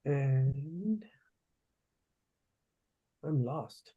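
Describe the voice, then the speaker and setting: A man's voice: mid-range voice, lively intonation, extremely slow, mellow, slightly rough, muffled recording. A man reading out stage directions as plain narration at a script table read.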